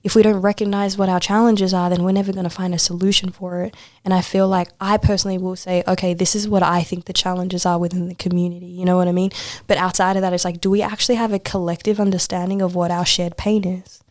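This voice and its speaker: Low, even-toned woman, close and dry. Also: fast talker, no room sound. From Australia. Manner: informal and emphatic, repeats phrases for stress, frequent rhetorical check-ins. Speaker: podcast guest, poet and social worker. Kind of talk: casual interview conversation.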